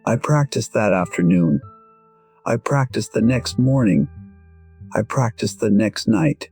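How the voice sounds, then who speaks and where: An actor playing a gentle, wistful musician in his sixties, narrating an audio drama in short, measured, reflective sentences. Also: lowish voice, animated, gravelly, slightly rough, heavily acted. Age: 60s. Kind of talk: first-person scripted audio drama narration. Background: faint music.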